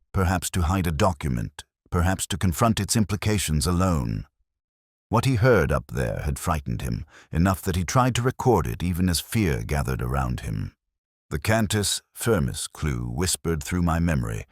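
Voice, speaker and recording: A man playing a weary, brooding older police inspector, narrating his case in a measured, solemn, deliberate way. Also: rumbling low, dry recording, clean studio sound, heavily acted. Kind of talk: scripted first-person mystery narration.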